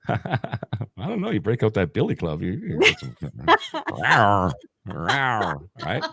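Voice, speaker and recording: Young Canadian man on a video call, teasing and quick to laugh, lively voice. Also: lowish voice, gravelly, slightly rough, no room sound. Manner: joking, laughs often, says "right?" a lot. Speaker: game night guest. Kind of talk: casual chat. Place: Thailand.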